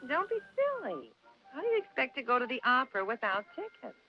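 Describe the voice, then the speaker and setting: A sharp-tongued cartoon cavewoman wife, brisk and scolding. Her high voice rises and falls lively on a lo-fi vintage cartoon track. Loud noise sits under it. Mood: tart.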